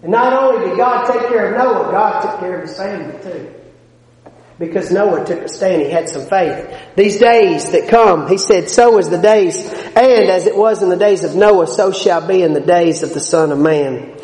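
High-voiced preacher in an echoing church. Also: male voice, normal speed, a little mellow. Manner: emphatic preaching that swells and drops in volume; folksy, plain-spoken asides. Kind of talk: sermon. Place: church sanctuary. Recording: echoey hall with long reverb.

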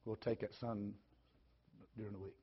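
A man, preaching in a folksy storytelling way, repeating phrases and swinging between loud and soft. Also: fairly low pitch, level tone, normal speed, breathy edge. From Tennessee, USA. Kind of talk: sermon storytelling. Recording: dull lo-fi recording.

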